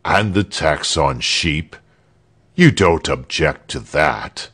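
A man's voice: low-pitched, sing-song, slow, vocal fry, a bit bright, husky, full character voice. A man voicing a haughty, coldly menacing governor with a curt, sardonic manner in a storybook reading. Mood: smug.

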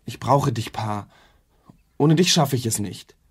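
A pushy indignant young idealist in a radio play, medium pitched voice. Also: male voice, a little slow, breathy edge. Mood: urging. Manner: pressing, indignant, informal. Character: impassioned, defiant younger man, professor's idealistic son. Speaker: actor in a radio drama. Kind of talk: German radio drama acting.

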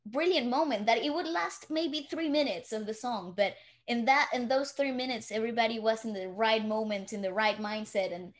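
Young woman co-host, slightly high voice. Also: normal speed, hardly any pauses. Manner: reflective, searching for words. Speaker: podcast co-host. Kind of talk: casual podcast conversation. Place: living room.

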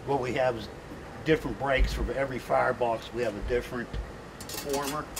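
A middle-aged man talks plainly while working at a bench in a big factory workshop. His voice sits at an ordinary pitch for a man, and metal clatter and clinking tools fill the background loudly. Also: steady tone, fairly quick, background noise. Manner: plain, matter-of-fact explaining while working. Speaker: factory worker. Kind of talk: explaining his work on a factory tour. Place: large factory workshop, indoors. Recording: loud workshop clatter behind.

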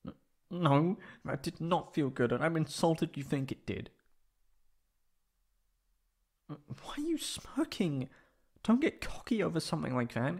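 A man playing a prickly young catboy in an ASMR roleplay, haughty but secretly soft-hearted and stammering when flustered. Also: fairly high pitch, sing-song, normal speed, husky, exaggerated voice. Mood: flustered, indignant.

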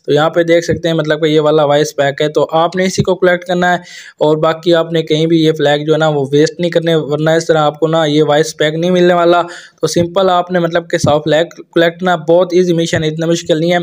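A man's voice: on the high side, flat delivery, brisk. A man with a Pakistani accent giving a casual, step-by-step gaming tutorial voice-over as a YouTuber.

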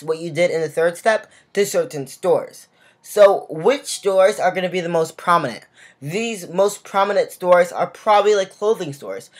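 Chatty young woman, slightly low voice. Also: slightly rough. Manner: chatty, frequent "like" and "guys", self-restarts. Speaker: game tutorial creator. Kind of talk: casual voice-over tutorial.

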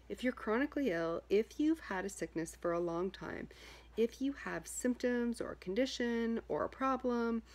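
A woman in her thirties with a husky voice, talking informally to camera and coaching in an emphatic, repetitive, conversational way. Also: fairly low pitch. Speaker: health vlogger giving self-help advice. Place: small furnished room at home, indoors.

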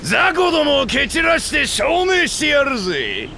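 An actor playing a boastful, brutish two-headed reptilian warlord in a game, arrogant and snarling. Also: male voice, extremely high pitch, huge pitch swings, measured pace, creaky, sharp tone, slight background noise, heavily acted. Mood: menacing boast.

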